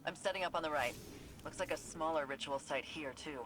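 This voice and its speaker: A determined, battle-hardened soldier voiced by a woman. She speaks in clipped, intense lines in a dramatic sci-fi game style, with clear noise behind her. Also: low-pitched, brisk, close to an everyday voice. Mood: focused. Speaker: frontline soldier.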